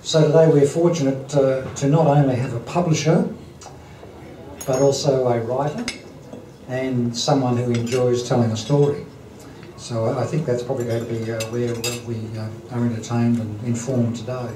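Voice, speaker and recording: A man introduces a guest speaker at a lunch, stumbling through ums and clearing his throat often. He sounds distant in a roomy dining hall. Cutlery and plates clatter clearly behind him. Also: mid-range voice, fairly even intonation, normal speed, mellow, a little hiss or hum. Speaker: event host introducing a speaker. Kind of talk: halting formal introduction at a lunch.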